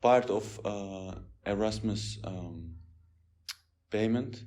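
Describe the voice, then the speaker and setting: A man's voice: on the low side, very slow, a little mellow. A man in his twenties from the Netherlands, an exchange student answering in plain short sentences with hesitant fillers. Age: 20s. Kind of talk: casual interview answers.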